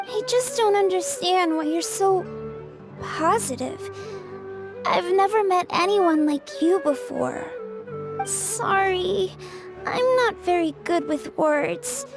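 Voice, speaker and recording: A shy little girl in a game story, very high and slow in speech. She sounds polite, forlorn and hesitant, with music behind her. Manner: shy, hesitant, soft-spoken. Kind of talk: scripted video game story dialogue. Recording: voice over background music.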